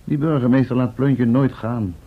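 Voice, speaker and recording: An actor playing a level-headed, sceptical professor's companion in a radio drama, speaking politely and reasonably. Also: male voice, medium pitch, fairly even intonation, moderate pace, breathy edge, lightly acted. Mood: dry, sceptical. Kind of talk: Dutch radio drama acting. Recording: muffled old radio recording.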